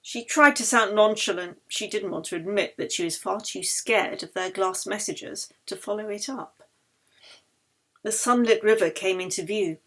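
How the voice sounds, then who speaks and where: A woman narrates literary fiction as its author. Her delivery is scripted and expressive, and her voice sits in a middle register. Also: mid-range voice, normal speed. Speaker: author reading own novel.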